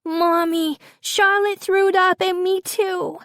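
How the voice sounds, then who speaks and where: Whiny, high-pitched little girl. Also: fairly even intonation, slow pace, a bit bright, dry recording, studio quality, exaggerated voice. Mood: outraged, demanding. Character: whiny, demanding small girls, sick toddlers.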